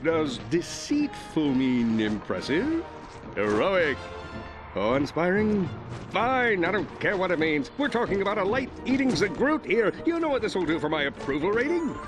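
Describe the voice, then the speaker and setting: An actor voicing a vain, bumbling hero turned galactic president in a game cutscene, with a pompous, self-important manner. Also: male voice, high-pitched, very animated, normal speed, over music. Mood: pompous, boastful. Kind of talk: animated game cutscene acting.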